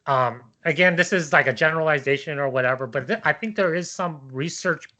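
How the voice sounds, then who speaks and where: A man's voice: a little high, fairly quick, bright, forceful tone. A man in casual conversational debate, thinking aloud in a discursive, hesitant way and often checking for agreement. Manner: hesitant, discursive, seeks agreement with tag questions.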